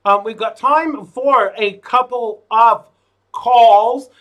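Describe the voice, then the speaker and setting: Guy hosting a call-in show, higher voice, bright and forward, lots of ums. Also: slow. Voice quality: says his voice is low. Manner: chatty, jokey asides and fillers. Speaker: show host.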